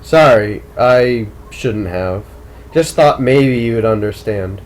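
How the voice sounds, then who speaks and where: Man playing teenage tennis star, audiobook dialogue, slow deliberate delivery, probing, manipulative, medium pitch. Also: a little hiss or hum, lightly acted. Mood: quietly insistent.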